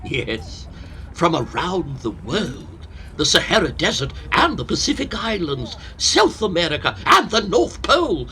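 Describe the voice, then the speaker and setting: A man's voice: fairly high pitch, very animated, unhurried, bright, forceful tone, heavily acted. A man acting a fussy, self-pitying older hypochondriac professor in a comic period farce, lamenting in florid theatrical style. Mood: proud, eager.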